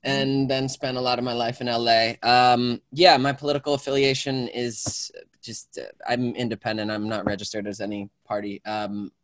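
A man in his thirties, a podcast guest, talking in a laid-back, halting way over earbuds. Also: medium pitch, little pitch movement, no echo. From Atlanta, Georgia. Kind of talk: casual panel chat.

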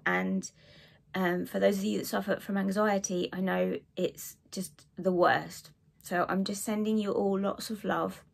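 A woman in her thirties with a cold and a croaky voice, chatting to camera about books she read, with lots of ums and asides. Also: mid-range voice. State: hoarse from a cold. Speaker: book vlogger. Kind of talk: casual chat to camera. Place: living room with bookshelves.

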